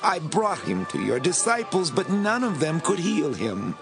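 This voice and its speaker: High-voiced, pleading desperate father. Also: wildly swooping pitch, a little slow, vocal fry, heavily acted. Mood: anguished, pleading. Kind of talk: dramatised scripture audio. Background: music.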